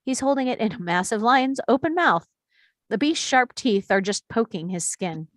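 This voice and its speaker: A woman's voice: on the high side, lively intonation, normal speed, dry recording, studio quality. Woman reading screenplay directions. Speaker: reader of stage directions. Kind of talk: reading screenplay action lines.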